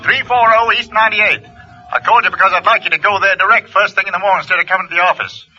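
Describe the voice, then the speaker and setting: An actor playing a brisk, businesslike boss phoning an employee in a 1940s radio drama. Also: male voice, high voice, fairly even intonation, bright, forceful tone, soft background music, full character voice. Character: brisk middle-aged male boss calling at night. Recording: lo-fi vintage radio broadcast.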